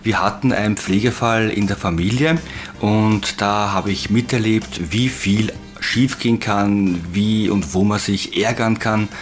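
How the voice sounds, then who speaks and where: Low-voiced man in his forties. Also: unhurried, faint music behind. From Slovakia. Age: 40s. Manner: plain, steady explaining with some hesitations. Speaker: guest, agency owner.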